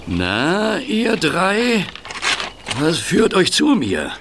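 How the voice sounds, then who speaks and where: A man playing an affable, hospitable older man in an audio drama, speaking deliberately with hesitations. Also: high-pitched, huge pitch swings, creaky, background noise, heavily acted. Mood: friendly, welcoming. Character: affable older man, scarred retired film manager breeding budgies. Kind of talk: audio drama dialogue acting.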